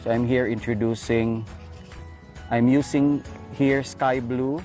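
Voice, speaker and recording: A man's voice: mid-range voice, fairly even intonation, slow, dark tone, soft background music. A Filipino man giving an instructional demonstration as an art teacher, explaining each step.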